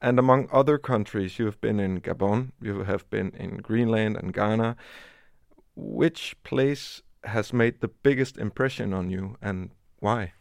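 Gravelly low-voiced male interviewer. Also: measured pace, dry recording, clean studio sound. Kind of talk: interview questions.

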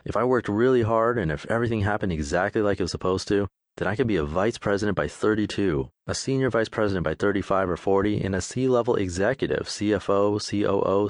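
A man's voice: fairly low pitch, a little fast, dry recording, studio quality. A man narrating a first-person memoir audiobook in a laid-back, straightforward way.

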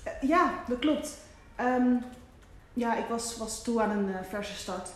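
An actress playing a curious, persistent young woman reporter in a naturalistic audio drama, asking questions in a halting way. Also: on the high side. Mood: hesitant, curious.

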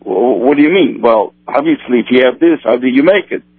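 A man from Brazil whose first language is Portuguese, a kosher supervisor answering interview questions in a halting way with many restarts. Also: mid-range voice, animated, slightly rough, no echo. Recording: tinny phone line, dry.

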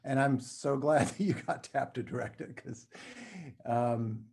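A man's voice: mid-range voice, measured pace, vocal fry, darkish tone, husky. A man speaking casually as an interview guest, hesitating and searching for his words.

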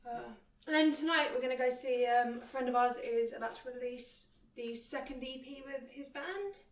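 A woman's voice: sits high, moderate pace, distant mic. A woman of twenty chatting playfully on a casual podcast. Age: 20s. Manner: joking, playful. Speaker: podcast co-host. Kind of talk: casual chat. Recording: echoey room, away from the mic.

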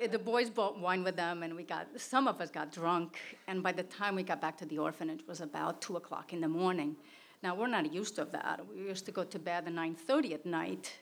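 A woman's voice: on the low side, fairly bright tone. Woman telling a story. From Portugal. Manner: conversational, plain, with fillers and restarts. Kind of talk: live personal storytelling.

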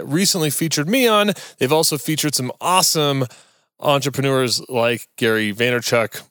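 A man's voice: medium pitch, fairly bright tone, no echo, studio quality. Upbeat casual male podcast host. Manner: upbeat, friendly, laid-back. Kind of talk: casual interview chat.

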